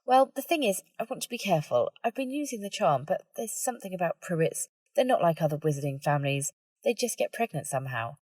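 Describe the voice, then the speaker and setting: A woman's voice: on the low side, expressive, quick pace, a little mellow, breathy edge, no echo. A woman voicing a frank, nosy, caring young witch in an audiobook, talking informally, both hesitant and blunt. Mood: awkward, hesitant. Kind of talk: audiobook character dialogue.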